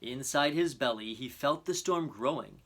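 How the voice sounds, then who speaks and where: A man presenting and reading a children's storybook aloud in an earnest, expressive, measured way. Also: mid-range voice, a little hiss or hum. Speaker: storybook reader.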